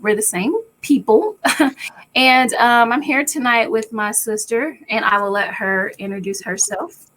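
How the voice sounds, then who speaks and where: Raspy chatty woman on a live stream. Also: on the high side, a little slow, poor audio. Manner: relaxed, chatty, often laughing. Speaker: co-host of a live spiritual chat. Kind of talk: casual live-stream chat.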